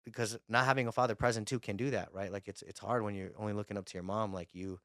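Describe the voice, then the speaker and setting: A man's voice: on the low side, fairly even intonation, fast, fairly bright tone, no room sound, clean studio sound. A man hosting a podcast interview, chatting in a laid-back way with casual slang. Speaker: podcast host.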